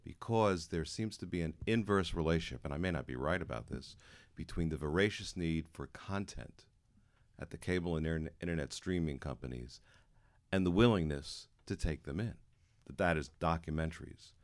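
A man in the audience asking the panel a long question, halting and full of fillers. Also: rumbling low, expressive.

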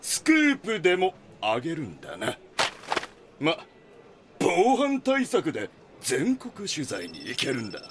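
A man's voice: a little high, wildly swooping pitch, measured pace, full character voice. A man playing a stern, curt, commanding magazine boss in a Japanese voice drama, with noise behind him. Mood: stern, dismissive. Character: stern, gruff male magazine boss scolding his junior reporter.

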